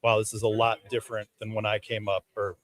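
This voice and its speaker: A man's voice: fairly low pitch, monotone, fast talker, fairly bright tone. A man putting a casual question to a guest in a live sports interview. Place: live at a baseball fan convention.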